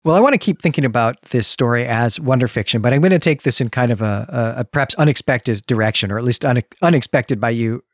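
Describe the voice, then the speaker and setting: A man's voice: mid-range voice, fast, hardly any pauses, no echo, studio quality. A man hosting a podcast, giving unscripted literary analysis in long, explanatory sentences. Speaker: podcast host.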